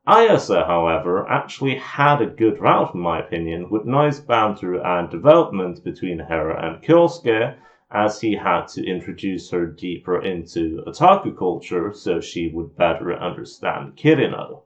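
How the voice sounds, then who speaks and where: A man's voice: sits low, sing-song, a little slow. A man giving an informal, scripted game review voice-over in an opinionated, grumbling, self-deprecating way. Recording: muffled lo-fi home voice recording.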